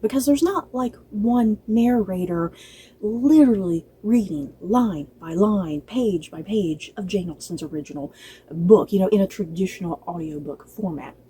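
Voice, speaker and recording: A woman's voice: medium pitch, normal speed, warm tone, slightly rough. A woman giving a casual, unscripted review to viewers as a channel host, chatty and rambling, often circling back to repeat herself.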